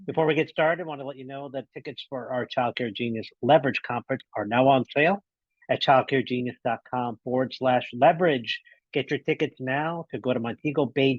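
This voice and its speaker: A man's voice: medium pitch, no room sound, dull audio. A man hosting a podcast interview, upbeat and rambling, often restarting his sentences. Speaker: podcast host.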